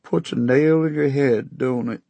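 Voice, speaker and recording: A hulking middle-aged farmer from Oklahoma hollers through a pinched, high, twangy Oklahoma accent, grief boiling into rage. The tone has a rough, husky edge, and the recording is close and dry with no room around it. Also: male voice, a little slow, no echo, muffled recording. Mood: aggrieved. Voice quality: twangy, shouting. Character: big furious weeping middle-aged male Okie farmer.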